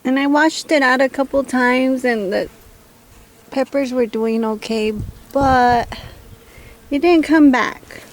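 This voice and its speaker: High, scratchy-voiced woman chatting slowly. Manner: rambling, thinking aloud. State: scratchy voice and throat clearing from allergies. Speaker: home vlogger. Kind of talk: casual chat while doing backyard chores.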